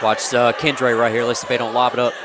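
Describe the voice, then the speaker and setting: A man's voice: medium pitch, little pitch movement, fast talker. A man giving conversational color commentary at a live basketball game in an informal, folksy way. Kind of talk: conversational live sports analysis. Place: indoor high school gymnasium. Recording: live from noisy gym, crowd behind. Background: crowd and bouncing basketball.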